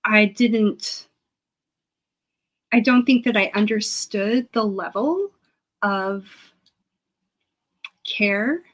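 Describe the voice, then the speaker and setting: Earnest, hesitant woman, mid-pitched interview guest. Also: very slow, long pauses. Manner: hesitant, searching for words, earnest. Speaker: guest, public historian. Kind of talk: casual reflective interview talk.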